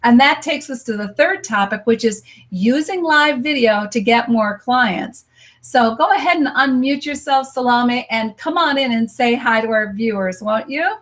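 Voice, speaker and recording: A woman's voice: a little high, expressive, moderate pace, fairly bright tone. An American woman hosting a live stream interview show, upbeat and promotional. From United States. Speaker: host.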